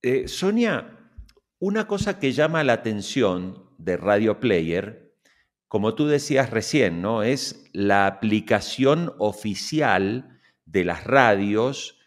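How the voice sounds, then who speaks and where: A man's voice: lowish voice, sing-song, slow pace. A middle-aged man presenting a podcast and interviewing guests.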